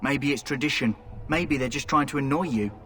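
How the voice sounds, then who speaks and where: A man's voice: mid-range voice, brisk, a bit bright, natural voice. A man playing a cocky rogue recruit in a fantasy game, wry and taunting in scripted dialogue. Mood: mocking.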